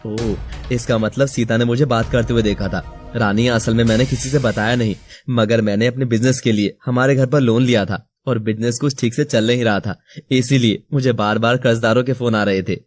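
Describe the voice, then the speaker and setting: An actor playing a troubled, jealous young husband in an audio story drama, earnest and confused. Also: male voice, medium pitch, steady tone, normal speed, soft background music, close to an everyday voice. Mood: confused, explaining. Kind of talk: acted Hindi audio story dialogue.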